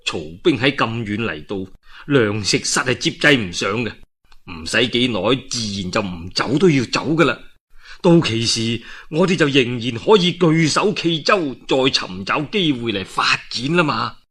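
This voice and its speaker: A shrewd male strategist from a historical storybook, as a male storyteller plays him, speaks with a Guangdong Cantonese accent. His lowered voice is calm and measured, laying out each step with persuasive reasoning. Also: husky. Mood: calm scheming.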